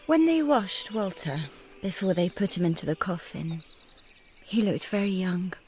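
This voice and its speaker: An actress playing a grieving young widow in a radio drama, solemn and contemplative. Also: female voice, lowish voice, very animated, measured pace, darkish tone, soft background music. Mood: sombre, wondering.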